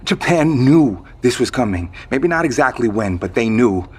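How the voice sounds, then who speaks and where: A man's voice: medium pitch, expressive, normal speed, a little mellow, husky. A man playing a weary, guarded survivor in an audio drama, talking in a casual, hesitant, conversational way. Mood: earnest. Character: weary, guarded male survivor with secret knowledge.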